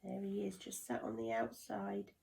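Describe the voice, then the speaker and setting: A woman reading and explaining a children's story, gently asking young listeners questions. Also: medium pitch, lively intonation, moderate pace, vocal fry.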